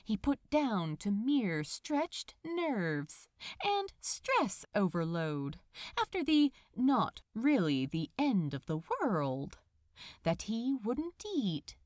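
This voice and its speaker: A woman narrating a story expressively, with deliberate, dramatic phrasing. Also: mid-range voice, darkish tone, no room sound, clean studio sound. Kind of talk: expressive scripted fan fiction narration.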